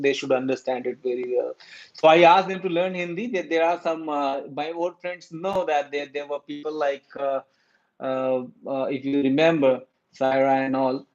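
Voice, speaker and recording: A man's voice: medium pitch, a little mellow. A man from India with an Indian accent, talking casually about current affairs to his viewers, hesitant with many fillers and restarts. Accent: Indian English. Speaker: YouTube commentator. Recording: dull lo-fi recording.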